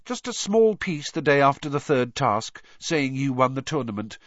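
A man voicing a clever, earnest teenage schoolgirl in a storybook, brisk and precise, with a smug, explanatory manner. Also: mid-range voice, sing-song, no echo, lo-fi sound, pitched above the performer's own voice, lightly acted. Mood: calm, insistent.

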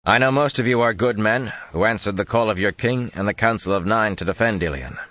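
A man's voice: lowish voice, a little fast, fairly bright tone, dry recording, muffled recording, voice pushed up, natural voice. A man voicing a stern young king who speaks with firm authority, as in an audiobook. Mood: commanding. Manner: demanding, authoritative.

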